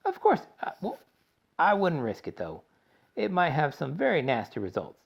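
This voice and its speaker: A man reading aloud and voicing an eccentric, excitable male chocolate maker in a high theatrical voice. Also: moderate pace, gravelly, heavily acted. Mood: flustered. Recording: dull lo-fi recording.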